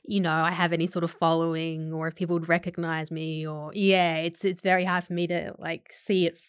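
A woman with an Australian accent, an actor chatting casually in an interview, self-deprecating and quick to laugh. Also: fairly low pitch, little pitch movement, clean tone, dry recording, studio quality.

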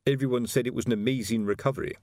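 A man's voice: medium pitch, fairly even intonation, racing, darkish tone, dry recording, studio quality, pitched above the performer's own voice, lightly acted. A man voicing a weary, authoritative veteran police sergeant in an audiobook. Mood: chatty. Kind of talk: audiobook character voice.

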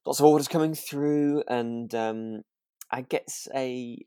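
A man's voice: mid-range voice, fairly even intonation, takes it slowly, warm tone, clear, resonant tone, dry recording, clean studio sound. A British man co-hosting a podcast, chatting casually about a drama in a rambling, hesitant way. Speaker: podcast co-host.

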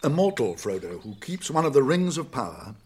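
A British actor playing a wise, kindly old wizard in a radio drama, speaking gravely and deliberately with thoughtful hums. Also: male voice, mid-range voice, sing-song, a little mellow. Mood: grave, ominous. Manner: deliberate, pausing, murmuring hums and chuckles.

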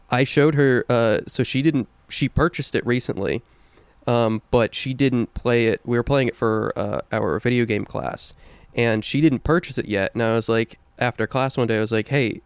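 A man's voice: mid-range voice, smooth, dry recording. A young man co-hosting a casual video game podcast, opinionated and swearing freely. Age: young adult. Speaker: podcast co-host. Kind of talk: casual chat about video games.

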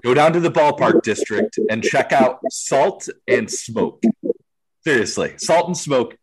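A man's voice: medium pitch, expressive, unhurried, breathy edge, low-quality recording. A man chatting casually, recommending things with eager insistence. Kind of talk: casual chat.

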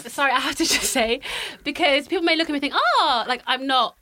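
Bubbly bright-voiced woman. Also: high voice, quick pace, no echo. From Wales. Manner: bubbly, animated, jokey, chimes in often. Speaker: podcast guest, dance student. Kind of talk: casual chat.

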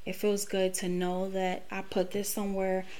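Podcast host, woman, late 30s, frank and emphatic, swears freely, mid-pitched voice. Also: monotone, moderate pace, mellow. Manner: candid, profane, emphatic. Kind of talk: personal monologue.

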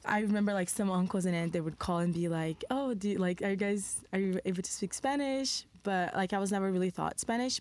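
Reflective young woman from Brazil, low voice. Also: darkish tone, dry recording. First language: Portuguese. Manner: reflective, halting, many "like" fillers. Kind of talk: podcast conversation.

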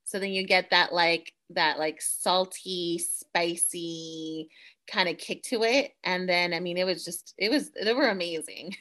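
Chatty, animated woman podcast co-host. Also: on the low side, unhurried. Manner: animated, chatty, code-switches into Spanish. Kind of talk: casual podcast chat. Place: Central Coast, California.

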